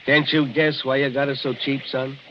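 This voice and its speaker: A man's voice: mid-range voice, flat delivery, moderate pace, close to an everyday voice. An actor playing a plain-spoken, cautionary old local man in a folksy 1940s radio drama style. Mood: grave warning. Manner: folksy, emphatic storyteller. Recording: muffled, hissy old radio recording.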